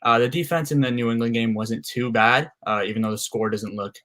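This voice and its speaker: Flat, steady-voiced man talking sports. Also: medium pitch. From Canada. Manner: steady and measured, frequent "uh"s. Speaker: guest co-host. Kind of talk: casual sports analysis.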